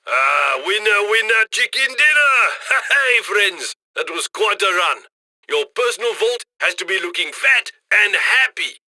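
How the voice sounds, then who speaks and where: A man's voice: high voice, big pitch swings, measured pace, bright, forceful tone, no echo, exaggerated voice. An actor playing a cocky, chummy male heist handler in a video game, with a wry, folksy, upbeat manner. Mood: jubilant, chummy. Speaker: mission handler.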